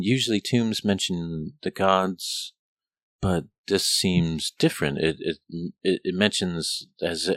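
A man putting on a flustered female scholar, stammering and lost in her studies. She trails off and restarts as she thinks aloud, her voice a touch higher than his own low one. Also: fairly bright tone, no room sound. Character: excitable female scholar absorbed in glyphs.

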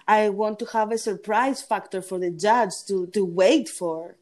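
A woman with a deep, gritty voice, a singing competition judge talking in a casual interview and pausing often on fillers. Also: darkish tone. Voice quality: deep voice with grit. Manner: hesitant, pauses with fillers.

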